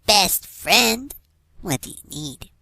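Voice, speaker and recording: A woman's voice: mid-range voice, animated, slow, pushed and bright, dry recording, heavily acted. Woman doing an eager, clingy cartoon girl pony. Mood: eager devotion. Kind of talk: fan fiction audio reading, cartoon character acting.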